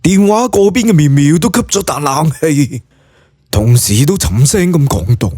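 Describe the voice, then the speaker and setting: Animated Cantonese male story narrator. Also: fairly high pitch, moderate pace, no room sound, clean studio sound. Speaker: audiobook narrator. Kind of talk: dramatic scripted story narration.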